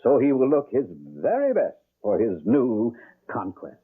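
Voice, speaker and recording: Fussy, swooping old rich gentleman. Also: mid-range voice, huge pitch swings, unhurried, slightly rough, exaggerated voice. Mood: doting, effusive. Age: older. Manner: fussy, coaxing, effusive, hesitant fillers. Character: rich, doting, courtly old gentleman landlord. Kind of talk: radio drama acting. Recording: tinny, band-limited old radio broadcast.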